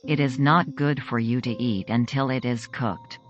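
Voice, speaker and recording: A man's voice: medium pitch, unhurried, close to an everyday voice. A man voicing a stern, impatient mother in a folk tale, firm and scolding. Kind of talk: storybook character voice. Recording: slightly dull recording with music behind.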